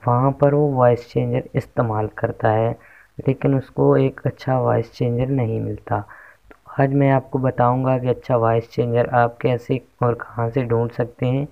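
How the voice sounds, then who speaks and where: A man's voice: medium pitch, gravelly. A man narrating a casual how-to tech tutorial as its host, on a dull lo-fi recording. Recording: dull lo-fi microphone sound.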